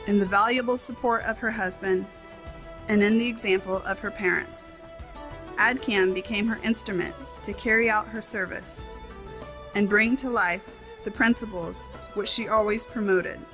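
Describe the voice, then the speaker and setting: A woman reading a translated tribute and speech as a voice-over, in measured, formal phrasing with short clauses. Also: mid-range voice, fairly even intonation, a bit bright, soft background music, rough recording.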